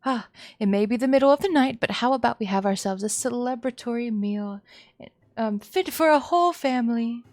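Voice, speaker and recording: A woman's voice: on the high side, fairly quick, darkish tone, no echo, studio quality, lightly acted. A woman voicing a maniacal, doting mother in a visual novel, theatrical, sweetly coaxing and threatening. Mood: giddy, sweet. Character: maniacal doting mother, cloyingly cheerful and menacing.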